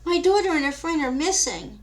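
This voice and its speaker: A woman's voice: animated, fairly quick, rough recording. A woman voicing an anxious worried mother. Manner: anxious, high and loud. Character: worried mother of a missing girl.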